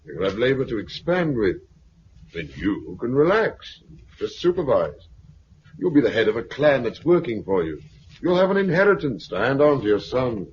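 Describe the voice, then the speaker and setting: An actor with a British accent playing a smooth, officious, condescending self-appointed commander in a 1950s radio drama. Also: male voice, medium pitch, very animated, moderate pace, natural voice. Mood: coolly authoritative. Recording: muffled, hissy 1950s radio recording.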